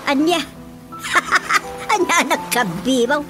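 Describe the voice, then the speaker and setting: A woman's voice: high voice, sing-song, very slow, exaggerated voice. A Filipino woman playing a wife who questions and banters with her husband in a radio comedy drama, in a conversational manner full of exasperated interjections. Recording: music bed under the dialogue.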